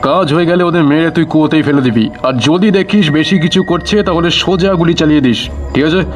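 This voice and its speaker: A man's voice: a little high, brisk, close to an everyday voice. An actor playing a vengeful young male villain in an audio drama, taunting and giving threatening orders. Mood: commanding. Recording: roomy reverb under background music.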